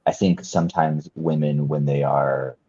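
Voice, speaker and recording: A man's voice: extremely low pitch, steady tone, unhurried, dark tone, husky, no echo, highs cut off. A male nurse on a panel, talking thoughtfully and hedging with fillers. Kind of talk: casual panel chat.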